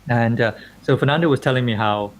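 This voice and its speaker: A man's voice: medium pitch, quick pace. A man from Malaysia hosting an online interview, reading a formal introduction and then asking questions, with frequent fillers and restarts. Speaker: host and interviewer.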